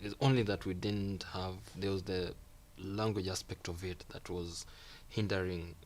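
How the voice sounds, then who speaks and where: Kenyan student guy, deep voice, easygoing chat, lots of little quoted reactions. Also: unhurried. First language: Swahili. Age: young adult. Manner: relaxed, repeats phrases, acts out quoted reactions. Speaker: guest student. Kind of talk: casual conversational interview. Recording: faint background noise.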